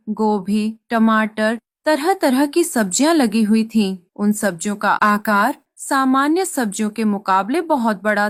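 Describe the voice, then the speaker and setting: Female narrator for an animated fable: higher-pitched voice, scripted storytelling read, very dry recording. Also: no echo.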